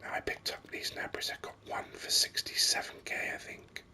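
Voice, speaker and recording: A man hosting a gaming video in a breathy ASMR whisper, rambling along unhurriedly close to the microphone. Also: a little fast, pushed and bright. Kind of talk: ASMR whispered game commentary.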